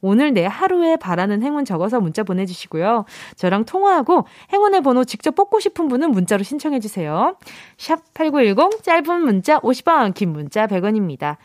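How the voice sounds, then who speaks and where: A woman's voice: on the high side, very animated, a little fast, hardly any pauses, darkish tone, no echo. A young woman from Busan hosting a radio show, chatting casually, laughing often and acting out listeners' messages. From Busan, South Korea. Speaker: radio DJ host. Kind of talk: casual radio chat reading listener texts. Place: radio studio. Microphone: studio microphone.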